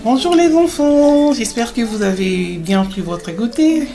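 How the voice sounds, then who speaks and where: A woman playing a kind mother who gives children a Bible lesson in an animated film, encouraging and explanatory, with some hesitations. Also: on the high side, a little slow, music in the background. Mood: welcoming.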